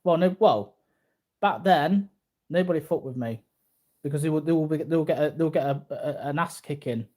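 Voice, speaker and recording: A man in his early forties with a slightly high voice speaks off the cuff to his viewers. He rambles in a casual, boastful way, circling back and repeating his phrases. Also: normal speed, darkish tone. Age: early 40s. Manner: repetitive, boastful. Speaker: martial arts vlogger.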